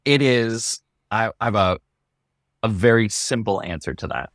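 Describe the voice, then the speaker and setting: A man's voice: lowish voice, lively intonation, normal speed, a bit bright, no echo, clean studio sound. A man chatting casually in an interview, speaking in an effusive, earnest way. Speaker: audio drama cast member being interviewed.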